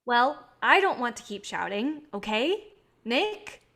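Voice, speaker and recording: A woman's voice: a little high, sing-song, slow, pushed and bright, full character voice. A woman acting as a protective, guilt-ridden idealistic filmmaker in a staged play reading, speaking earnestly and pleadingly. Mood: placating.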